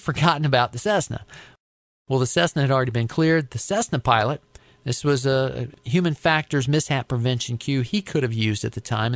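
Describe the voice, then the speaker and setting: An American man recounting his work as an air traffic controller in a conversational, deliberate, explanatory way. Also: medium pitch, no room sound. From United States. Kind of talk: conversational storytelling interview.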